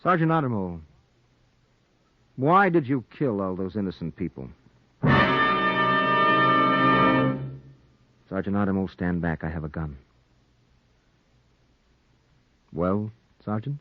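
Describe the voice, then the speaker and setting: Driven 1940s radio noir reporter, intense and dramatic, widely varied intonation, over dull vintage recording. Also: male voice, low-pitched, lively intonation, a little fast, dry recording. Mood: probing, tense. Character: driven male newspaper reporter hunting a strangler, narrating his story.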